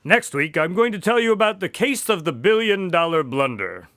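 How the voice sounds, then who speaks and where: A man's voice: high voice, big pitch swings, normal speed, a bit bright, no echo, clean studio sound, full character voice. A man playing a detective's skeptical doctor sidekick in a radio drama, wry and often exasperated. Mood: relieved, friendly. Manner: wry, incredulous.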